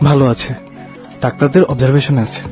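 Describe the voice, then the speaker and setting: A man acting as a young husband and father in an audio drama. He sounds caring and soothing, holding worry under a steady manner. The audio is a little dull, with faint music behind him. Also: mid-range voice, fast talker, lightly acted. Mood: reassuring. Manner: soothing, reassuring.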